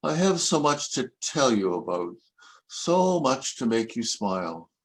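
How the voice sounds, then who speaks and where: An older man reading his own rhyming poems aloud in a measured, reflective recitation. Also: medium pitch, sing-song, poor audio. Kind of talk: poetry reading.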